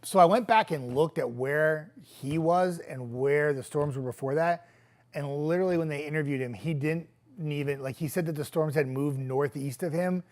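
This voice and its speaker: Young male weatherman, hoarse from a coming cold, relaxed live-chat host with a higher voice. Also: moderate pace. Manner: chatty, easygoing, trailing off into quiet asides. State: losing his voice, getting sick. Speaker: TV meteorologist hosting a viewer chat. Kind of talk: casual live-stream chat reading viewer comments.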